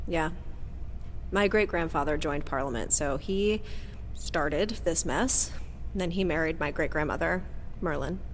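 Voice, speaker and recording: An actress playing a defiant, guarded former agent weighed down by family legacy, earnest and bitter, in an audio drama. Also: female voice, sits low, unhurried, a little hiss or hum. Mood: reflective. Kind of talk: audio drama acting with first-person narration. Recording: long hall reverb, clear background ambience.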